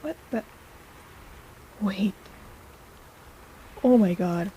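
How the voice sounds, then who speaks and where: A woman's voice: medium pitch, drawn-out words, dark tone, slight background noise, low-quality recording. A woman playing a snarky but caring apothecary in an intimate fantasy audio roleplay, chatty and teasing in a casual way. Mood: excited, amazed.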